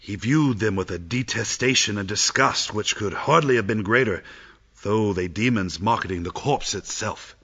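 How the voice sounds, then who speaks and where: An actor as the grave narrator of a Victorian tale, giving scripted dramatic narration in a husky voice, in slightly dull audio. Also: male voice, fairly low pitch, moderate pace, fairly bright tone.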